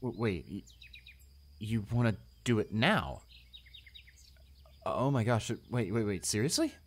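A man's voice: fairly low pitch, expressive, unhurried. A man playing a shy, kind-hearted male student in a romantic audio roleplay, hesitant, stammering and apologetic. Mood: excited, flustered.

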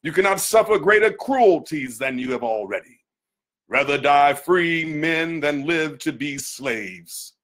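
A man's voice: a little high, expressive, a little slow, a bit bright. A man reading a historical address aloud in a solemn, declamatory, oratorical style. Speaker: reader of a historical speech.

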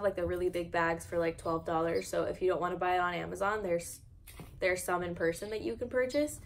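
Young woman, early twenties, American accent, casual rambling vlog, mid-pitched voice, informal and self-deprecating, some background noise. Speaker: houseplant vlogger. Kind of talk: casual rambling chat while repotting plants. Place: indoor room at home with plants. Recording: noticeable background noise, home video.

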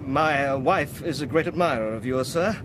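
An actor playing a meek, polite Victorian husband in a radio drama, hesitant and deferential. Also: male voice, mid-range voice, normal speed, background noise, natural voice.

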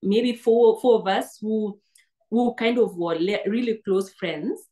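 A woman's voice: medium pitch, measured pace, dark tone. A woman in her thirties with a Kenyan accent, a guest talking earnestly over a video call and often restarting words. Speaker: guest, PhD graduate. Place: indoor room, seated on sofa. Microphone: laptop webcam on video call.

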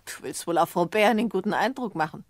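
A domineering old mother, played by a woman in a radio play. She is bedridden, querulous and sharp, ordering others about in curt phrases. The sound is dry, with little room. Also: mid-range voice, quick pace, no room sound, close to an everyday voice. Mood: querulous, suspicious. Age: older.

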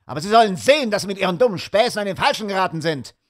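A man voicing an angry, blunt middle-aged gentleman who speaks in a broad rural dialect. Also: high-pitched, expressive, racing, pitched above the performer's own voice. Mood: furious. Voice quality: rough broad dialect, blustering.

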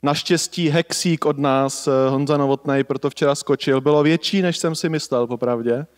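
A man's voice: on the high side, fairly even intonation. A man in his thirties hosting a conference, speaking into a handheld mic in a large lecture hall, loose and chatty with many fillers. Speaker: conference host. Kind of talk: casual welcome speech. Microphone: handheld microphone.

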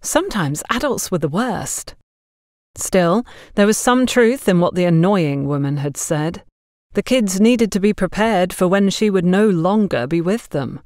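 Lively woman narrator, close dry sound. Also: lowish voice, a little slow, no room sound. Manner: expressive, acts out dialogue. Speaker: audiobook narrator. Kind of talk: scripted story narration.

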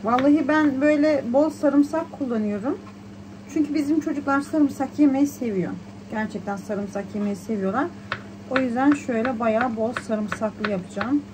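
High-voiced chatty woman vlogger. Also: noisy background. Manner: chatty, colloquial. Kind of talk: casual vlog narration while shopping and cooking.

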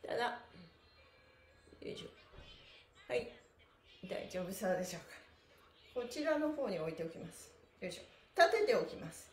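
A woman's voice: mid-range voice, big pitch swings, moderate pace, pauses often, soft background music. A middle-aged woman leading an upbeat stretching class in a cheerful, encouraging, polite way with sing-song counts. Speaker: stretching instructor and livestream host. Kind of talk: upbeat guided exercise class with chanted counts. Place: small bare indoor room. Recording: echoey room with faint noise.

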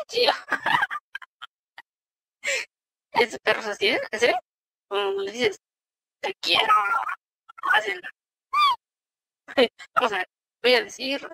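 A man using a voice-changing program to sound like a giggly, squeaky young woman. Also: creaky, bright, forceful tone, dry recording, full character voice, rough recording. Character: giggly high-pitched girlish voice "Cortana". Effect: voice changer pitch shift.

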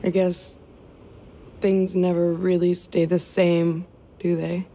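An actress playing a fragile, traumatized young woman in a video game drama, speaking in a hesitant, halting way. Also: fairly low pitch, flat delivery, dark tone, slight background noise. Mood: sad, withdrawn. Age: young adult.

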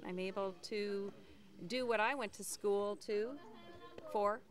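An older woman, a volunteer pharmacist, answering interview questions outdoors in a plain, slightly halting way. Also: medium pitch, fairly even intonation. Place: outdoors at a rural clinic.

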